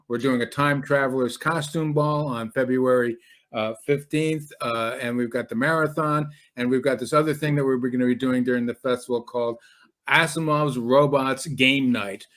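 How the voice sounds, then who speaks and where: A man's voice: medium pitch, fairly bright tone. A man hosting an interview in a casual, conversational style. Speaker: host.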